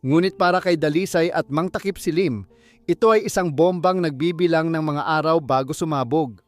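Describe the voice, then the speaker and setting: Higher-voiced man narrating dramatic stories. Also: normal speed, no room sound, faint music behind. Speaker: audiobook narrator. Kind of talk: dramatic scripted storytelling.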